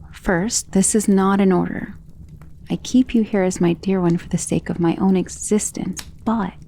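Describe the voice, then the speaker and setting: A woman playing a centuries-old, tender and protective vampire in an intimate ASMR romance roleplay, speaking softly and earnestly, often in a whisper. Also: mid-range voice. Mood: tender, hopeful. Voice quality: soft, breathy, often dropping to a whisper.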